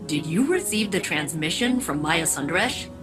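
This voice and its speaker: A woman's voice: deep voice, expressive, normal speed, over music. An actress voicing an earnest, resolute and reflective woman leader in dramatic game dialogue. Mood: focused.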